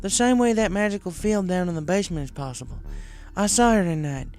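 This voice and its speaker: A man voicing a plain-spoken, steady female workhorse pony in a storybook reading. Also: sits high, brisk, faint music behind, voice pushed up, natural voice. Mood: insistent.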